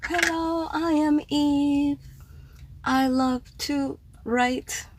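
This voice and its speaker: A woman's voice: high voice, steady tone, very slow, a little hiss or hum. A woman from Cuba whose first language is Spanish, hosting a livestream podcast in English with a Cuban accent, chatting in a meandering way with many fillers. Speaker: podcast host and poet. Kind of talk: casual livestream chat greeting viewers.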